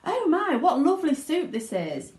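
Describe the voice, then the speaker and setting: A woman voicing a gleeful, boastful young gum-chewing girl in a storybook, gushing with excitement. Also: high-pitched, normal speed, echoey room, pitched above the performer's own voice, full character voice.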